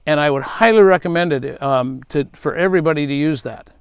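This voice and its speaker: A man's voice: fairly high pitch, brisk, clean tone, dry recording, clean studio sound. A middle-aged man, a safety speaker, giving earnest advice and telling stories from his past. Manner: earnest, advising, storytelling. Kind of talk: speaking tip to camera.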